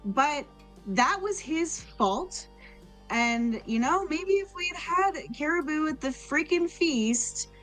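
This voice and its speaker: A woman's voice: high voice, expressive, slow, a bit bright, faint music behind, pitched above the performer's own voice, exaggerated voice, low-quality recording. A woman voicing an earnest, bossy but kindly female adventurer in a tabletop game, talking in an emphatic ramble. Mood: exasperated.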